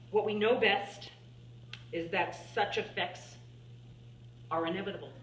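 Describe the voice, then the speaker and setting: A woman's voice: lowish voice, lightly acted. A woman playing an earnest scientist and writer, giving a formal, emphatic speech to lawmakers in a dramatic monologue. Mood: grave, foreboding. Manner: deliberate, emphatic, oratorical. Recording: echoey room, distant mic, faint hum.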